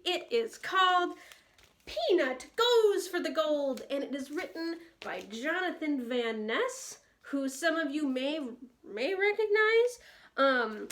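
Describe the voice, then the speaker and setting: A woman's voice: high voice, a bit bright. A woman storyteller presenting picture books for young children, speaking to them directly and playfully. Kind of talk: picture-book story time with read-alouds and sing-along.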